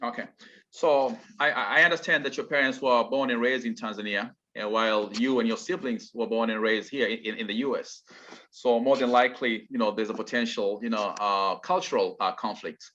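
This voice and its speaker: A man hosts the interview, posing his questions slowly with small "uh" pauses. His voice is bright and forceful, mid-pitched, and the audio sounds somewhat muffled. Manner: measured, halting questions with "uh" pauses. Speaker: interviewer host.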